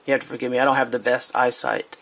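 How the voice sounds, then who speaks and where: A man's voice: mid-range voice, steady tone, quick pace, vocal fry, fairly bright tone. A man giving a casual product review while he handles a speaker on a tabletop, hesitant, with many fillers and restarts.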